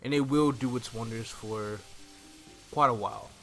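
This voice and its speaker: A man's voice: mid-range voice, normal speed, gravelly, a bit bright. A man in his twenties giving laid-back, explanatory walkthrough commentary on a video game, speaking close to a desktop microphone. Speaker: gaming YouTuber. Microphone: desktop microphone on a stand, close. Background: game music.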